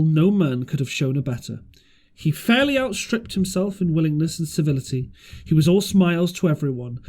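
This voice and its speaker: A man's voice: fairly high pitch, darkish tone. A man reading a storybook aloud as an amateur audiobook narrator, occasionally stumbling and rereading phrases. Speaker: audiobook reader.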